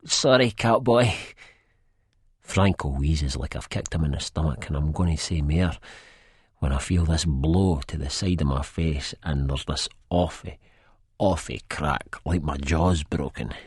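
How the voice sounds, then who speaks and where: A man's voice: very deep voice, fairly even intonation, a little slow, a little mellow, husky, dry recording, clean studio sound. A man with an Edinburgh Scottish accent narrating an audiobook in the first person, dramatically and in dialect.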